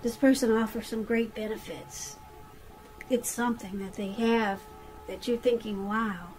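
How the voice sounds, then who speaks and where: A woman's voice: on the high side, fairly even intonation, a little slow, darkish tone, rough recording. A woman giving a conversational tarot reading straight to the listener, repeating phrases for emphasis. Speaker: tarot reader.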